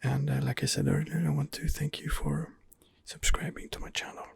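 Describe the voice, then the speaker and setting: A man giving ASMR game commentary in a breathy, husky whisper, hesitant and rambling as he muses his way along. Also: fairly high pitch, flat delivery, a little fast. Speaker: video game streamer, channel host.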